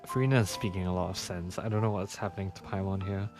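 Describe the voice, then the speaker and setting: A man giving casual, sympathetic playthrough commentary, often halting and repeating himself. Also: sits low, fairly even intonation. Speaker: streamer. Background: game music.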